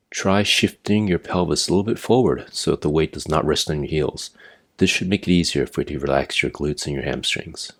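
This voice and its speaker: A man narrating a guided meditation as an instructor, giving measured, step-by-step directions. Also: very deep voice. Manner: measured, imperative step-by-step guidance.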